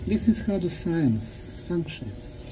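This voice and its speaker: A man lecturing in short, emphatic phrases, pausing for an interpreter, with a deliberate, didactic manner. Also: fairly high pitch, sing-song, noisy background. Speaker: lecturer and guide.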